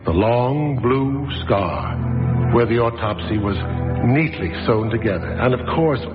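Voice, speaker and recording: A man's voice: mid-range voice, animated, measured pace, dull audio, loud music behind the voice. A man narrating a radio drama in a wry storytelling manner.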